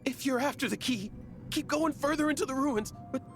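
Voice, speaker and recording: A man's voice: extremely high pitch, fairly quick, soft background music. A man playing a cowardly, panicky guard in an anime video game, stammering and pleading. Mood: pleading, nervous.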